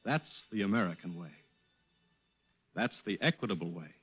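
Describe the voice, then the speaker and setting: A man's voice: deep voice, animated, normal speed, husky, soft background music. A man announcing in a grand, oratorical 1940s radio style, reading a scripted sponsor message. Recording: muffled old radio recording.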